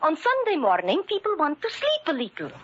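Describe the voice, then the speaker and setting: High-voiced, reproachful wife. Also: female voice, wildly swooping pitch, fairly quick, fairly bright tone, full character voice. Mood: scolding. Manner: careful, formal phrasing without contractions. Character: reproachful, anxious wife of a Greek immigrant shoemaker. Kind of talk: 1940s radio drama acting. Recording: muffled, noisy 1940s radio recording.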